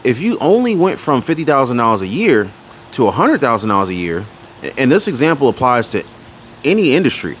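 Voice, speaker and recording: A man's voice: medium pitch, a little hiss or hum. A man hosting a personal finance channel, talking casually to his viewers and often restarting his sentences. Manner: rambling with frequent restarts and fillers. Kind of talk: casual talk to camera.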